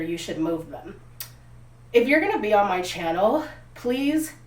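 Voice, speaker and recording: A young woman with a stuffy cold hosting a casual clothing try-on vlog, talking candidly and straight to her viewers. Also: on the low side. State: congested from a cold, sniffly. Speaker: fashion vlogger, channel host. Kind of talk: casual try-on haul chat to camera. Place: outdoors, windy. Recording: roomy echo, distant mic, faint ambient noise.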